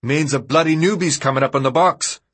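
Man doing audiobook character, teen boy, excited, disbelieving, slangy, emphatic, raised pitch, husky. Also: brisk. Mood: stunned excitement.

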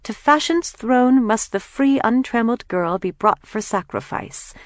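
A woman's voice: medium pitch, animated, a little slow, darkish tone, no room sound, studio quality, pitched above the performer's own voice. A woman voicing a sarcastic, dramatic teenage older sister in an audiobook, with grandly theatrical delivery. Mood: sarcastic, enthralled. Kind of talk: acted character dialogue in audiobook.

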